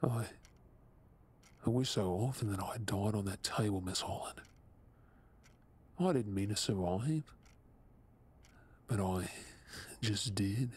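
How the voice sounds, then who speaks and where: Man as a slow, breathy, haunted survivor. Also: on the low side, darkish tone. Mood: bleak regret. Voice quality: husky, breathy. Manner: halting, subdued, stammering. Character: haunted, guilt-ridden young male lab assistant and survivor. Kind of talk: audio drama acting.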